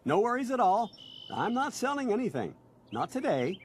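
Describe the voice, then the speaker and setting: A pushy, over-cheerful door-to-door salesman, high-pitched and very animated. He is ingratiating, wordy and insistent, in theatrical video game style with faint noise behind. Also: normal speed. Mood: eager, ingratiating.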